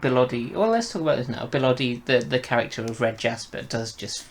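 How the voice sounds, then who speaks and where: A man with a gravelly, creaky voice hosts a relaxed review discussion. He steers the chat with questions and prompts. There is some faint noise in the background. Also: medium pitch, fairly quick. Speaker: host of an audio drama review show. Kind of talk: casual review chat with a co-host.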